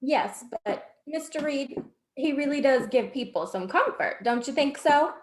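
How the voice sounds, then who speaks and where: A woman playing a dry, high-voiced secretary, roomy sound. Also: animated, measured pace. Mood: skeptical. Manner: dry, wry. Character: skeptical, dry-witted female newspaper office secretary. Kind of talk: old-time radio drama acting.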